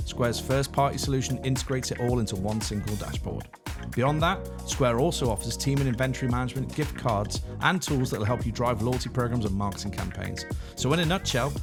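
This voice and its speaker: A man hosting a podcast, chatty and energetic, laughing often as he interviews a guest. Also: medium pitch. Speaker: podcast host. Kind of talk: casual interview.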